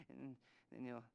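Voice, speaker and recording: An actor playing a sardonic middle-aged American heist planner in a video game, rambling and hesitant with awkward pauses. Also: male voice, mid-range voice, creaky, clean tone, lo-fi sound, heavily acted. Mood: awkward, creepy amusement. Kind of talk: video game character dialogue.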